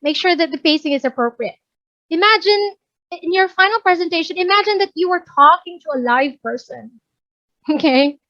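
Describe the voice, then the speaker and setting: A woman's voice: extremely high pitch, animated, normal speed, bright, forceful tone, no room sound, lo-fi sound. A woman trainer with a Filipino English accent, chatting casually as she teaches, mixing Tagalog and English, often checking that she's understood. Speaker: workplace communication trainer. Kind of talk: casual instructive training talk.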